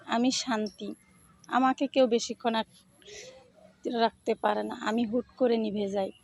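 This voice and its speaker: A woman's voice: a little high, steady tone, a little slow, creaky, a little mellow, no echo. A woman voicing resigned, forlorn talking candles in a fable, with solemn, plaintive declarations.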